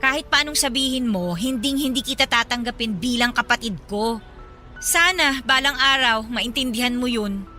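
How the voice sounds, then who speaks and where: A woman's voice: on the high side, normal speed, bright, forceful tone, soft background music. A young woman with a Filipino accent playing an embittered, proud, blunt older sister in a radio drama. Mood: cold, rejecting. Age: young adult. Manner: scornful, blunt. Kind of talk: dramatized radio love story.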